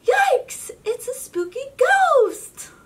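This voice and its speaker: Woman acting a little boy cat, storybook character, excitable, exclaiming, very high pitch, swooping intonation. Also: very slow, recorded from a distance, full character voice. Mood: excited. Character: excitable little boy cat, easily spooked but upbeat.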